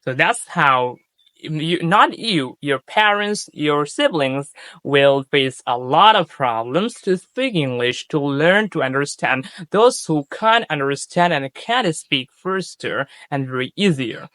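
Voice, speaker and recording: A man's voice: on the high side, lively intonation, slow pace, bright, forceful tone, dry recording. A young man from Bangladesh with a Bangladeshi accent, a Bengali native speaker, giving English speaking tips in a casual vlog, earnest and repetitive, often correcting himself mid-sentence. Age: young adult. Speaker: English-speaking coach, channel host.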